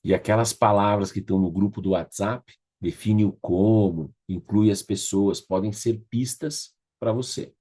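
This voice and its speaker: A man's voice: fairly low pitch, moderate pace, hardly any pauses, warm tone, slightly rough. A Brazilian man facilitating an online class, giving informal, directive instructions and checking in with participants.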